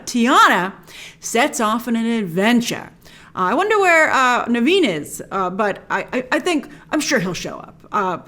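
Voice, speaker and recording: Excitable high-voiced woman host. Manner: excitable, emphatic, chatty. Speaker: host of an entertainment news reaction show. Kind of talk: enthusiastic reaction commentary, informal.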